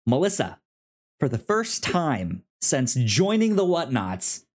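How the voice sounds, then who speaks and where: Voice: mid-range voice, sing-song, takes it slowly, darkish tone. A podcast host chatting informally and giving announcements in a conversational way. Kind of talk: casual podcast chat with housekeeping announcements.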